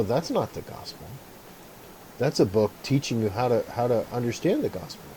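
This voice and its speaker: A man's voice: mid-range voice, warm tone, a little hiss or hum, low-quality recording. A man talking casually and reflectively about religion, rambling with many fillers and restarts. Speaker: video maker. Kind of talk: casual talk to camera.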